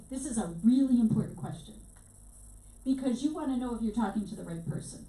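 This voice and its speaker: Woman, workshop instructor, chatty lecture, many fillers, mellow, distant mic, roomy echo. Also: a little high. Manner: conversational, frequent fillers and restarts. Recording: echoey room, mic at a distance.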